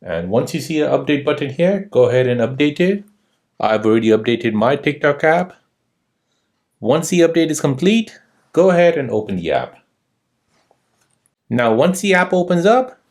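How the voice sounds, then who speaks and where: Male tutorial host, slightly high-pitched, animated step-by-step instructional read. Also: moderate pace. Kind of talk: scripted step-by-step app tutorial narration.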